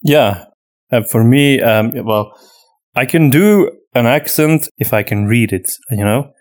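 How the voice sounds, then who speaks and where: A man co-hosting a podcast, a native Flemish Dutch speaker with an accent between British and American, improvising casual talk in halting, pieced-together sentences. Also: mid-range voice, animated. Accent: mixed European, between British and American. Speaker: podcast co-host and voice actor.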